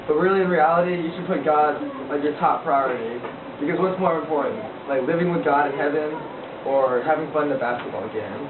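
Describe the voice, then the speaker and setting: A teenage boy casually sharing his faith testimony with a group of listeners, rambling with lots of filler words and asking them questions. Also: brisk. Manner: rambling with frequent likes, asks the listeners questions. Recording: echoey, distant, tinny low-fi recording with a noisy crowd.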